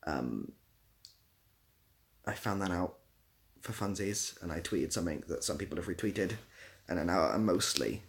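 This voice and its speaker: A man's voice: low voice, animated, unhurried, gravelly, long pauses. A young British man chatting casually on a livestream, rambling a little and dryly playful as he responds to viewers. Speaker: live streamer. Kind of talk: casual livestream chat, answering viewer comments.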